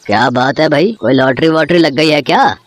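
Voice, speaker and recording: A man's voice: a little high, normal speed, dry recording, close to an everyday voice. A man playing a proud, rude, greedy street-food seller in a cartoon moral story, boastful and dismissive. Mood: mocking. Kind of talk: acted Hindi moral-story cartoon dialogue.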